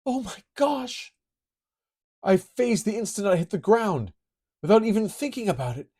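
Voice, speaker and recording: A man doing a high, startled young woman. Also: big pitch swings, moderate pace, mellow, slightly rough, exaggerated voice. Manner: breathless, high, exclaiming. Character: startled young woman phasing underground, excitable.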